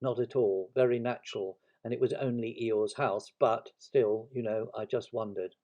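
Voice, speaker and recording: A man's voice: medium pitch, moderate pace, warm tone, lo-fi sound, natural voice. A man voicing a gloomy, self-pitying toy donkey in a storybook, with a melancholy, resigned, grumbling delivery. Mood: mournful.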